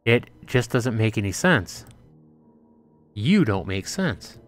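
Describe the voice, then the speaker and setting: A man's voice: lowish voice, big pitch swings, takes it slowly, faint music behind. A man voicing a skeptical young woman in a visual novel, questioning bluntly with a sarcastic edge. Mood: accusing. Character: skeptical, interrogating young woman demanding proof in mystery.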